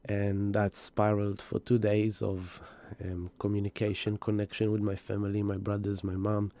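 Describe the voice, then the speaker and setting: Husky, tired man with a low, mellow voice. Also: monotone, moderate pace. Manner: gentle, rambling, greets viewers by name. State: exhausted and grieving. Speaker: spiritual astrology host. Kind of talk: live stream energy update.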